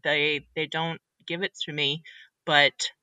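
A woman's voice: deep voice, flat delivery, slow pace, sharp tone, no echo. A woman co-hosting a casual podcast chat, chatty and acting out her own reactions in quoted voices. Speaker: podcast co-host.

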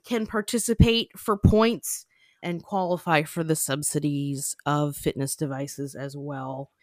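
A woman's voice: sits low, fairly even intonation, measured pace, darkish tone, no room sound. A young woman hosting a podcast in casual chat, chatty, laughing and trailing off into asides. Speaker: podcast host.

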